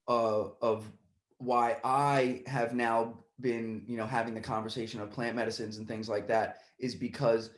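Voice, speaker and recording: Rambling podcast host, echoey room. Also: male voice, mid-range voice. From Puerto Rican family side. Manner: rambling, many restarts, gets excited when retelling. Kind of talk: casual podcast conversation.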